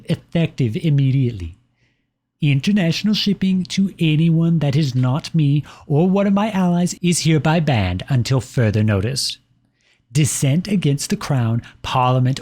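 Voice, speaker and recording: A self-important imperial lover, acted out by a man for a comedic audio roleplay. The voice sits a little high for a male. Formal letters are delivered in a haughty, petulant way, as from a jilted ruler who expects obedience. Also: a little mellow. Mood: stern, indignant. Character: Britain personified as a pompous, jilted imperial lover.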